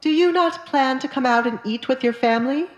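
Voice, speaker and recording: A woman's voice: high voice, fairly quick, echoey room, pitched above the performer's own voice, close to an everyday voice. A woman voicing a stern, pushy father who demands obedience from his daughter, in dramatized audiobook dialogue. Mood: coaxing, insistent.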